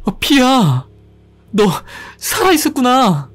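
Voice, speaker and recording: Man voicing a high, pleading young woman. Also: sing-song, takes it slowly, slightly rough, exaggerated voice. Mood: eager. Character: frightened young woman, college student.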